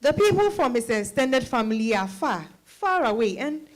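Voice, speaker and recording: A woman's voice: high voice, big pitch swings, normal speed. A woman playing an earnest, defensive younger woman in a dramatized reading, reasoning insistently. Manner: insistent, reasoning, emphatic repetition. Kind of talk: dramatized stage-style reading.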